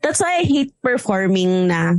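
A woman with a Filipino accent and a loud, strong voice, chatting casually and mixing Tagalog with English, with many fillers. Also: mid-range voice, big pitch swings, moderate pace. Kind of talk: casual chat.

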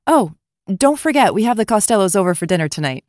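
A woman's voice: medium pitch, very animated, fast, darkish tone, dry recording, clean studio sound, lightly acted. A woman playing a sociable, nagging wife in her early forties in an audio drama. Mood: reminding.

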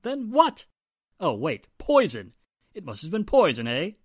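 An actor playing an exasperated, spluttering police inspector in a 1940s-style radio drama. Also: male voice, high voice, wildly swooping pitch, unhurried, husky, no room sound, studio quality, full character voice. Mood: incredulous. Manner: spluttering, emphatic, incredulous.

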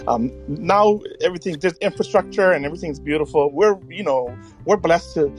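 A middle-aged man from Hawaii, chatting casually as a podcast host in hesitant, halting phrases. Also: on the high side, expressive, soft background music. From Hawaii, United States.

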